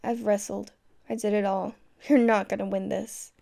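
A woman's voice: medium pitch, flat delivery, normal speed, gravelly, dark tone, close to an everyday voice. A woman playing a tough, fiercely protective young girlfriend in an audio roleplay, speaking directly to the listener. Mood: defiant, confrontational.

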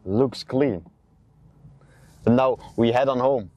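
A man's voice: mid-range voice, slow pace. A man, chatting casually as a car vlogger while driving. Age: 20s. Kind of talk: casual vlog chat. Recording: clear noise, car and road sound.

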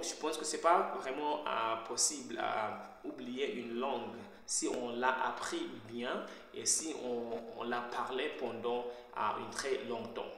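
A young man from Zimbabwe whose first language is Shona, talking casually to his laptop camera in a bare kitchen, pausing often to search for words. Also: medium pitch, a bit bright. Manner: hesitant, searching for words with frequent pauses. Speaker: language learner vlogger. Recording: very echoey bare room with faint hum.

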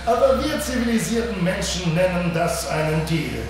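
Echoey, condescending villainous space commander. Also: male voice, high voice, expressive, moderate pace. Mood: mock-polite menace. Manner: condescending, mock-polite, threatening. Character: smooth, menacing villainous male space fleet commander. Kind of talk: German audio drama acting. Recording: echoey, distant, noisy spaceship hangar ambience.

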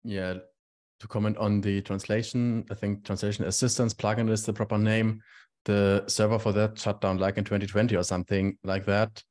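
A man's voice: fairly low pitch, little pitch movement, moderate pace, a little mellow. A man on an online project meeting, explaining technical details in a plain, matter-of-fact way. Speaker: meeting participant.

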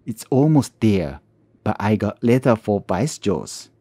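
Low, mellow man narrating a woodworking tutorial. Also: big pitch swings, unhurried, no room sound. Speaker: woodworking channel host. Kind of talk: calm tutorial voice-over.

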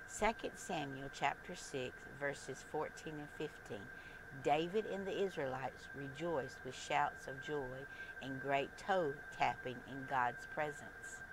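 An older woman earnestly reading a scripted devotional in a deliberate way. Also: extremely low pitch. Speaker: devotional host. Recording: clearly noisy recording with ambient sound.

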